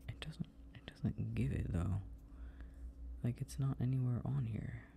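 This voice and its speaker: Guy playing a boyfriend at his computer, gravelly and mellow, mumbles to himself then chats easy. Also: unhurried, slight background noise. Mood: muttering focused. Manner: low muttering while focused on a task; relaxed, friendly chat with a partner. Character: young adult boyfriend quietly doing computer paperwork. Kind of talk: audio roleplay for a listener.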